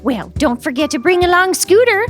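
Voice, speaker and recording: A woman's voice: very high-pitched, big pitch swings, moderate pace, soft background music. A woman playing a sweet, chirpy police receptionist in an audio drama, with a polite singsong manner. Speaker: voice actor.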